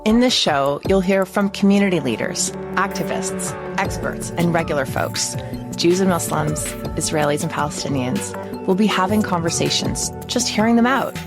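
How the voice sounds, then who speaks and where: A woman's voice: fairly low pitch, moderate pace, over loud music. A woman co-hosting a podcast, introducing the show and guests in an earnest way. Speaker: podcast co-host. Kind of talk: scripted podcast narration.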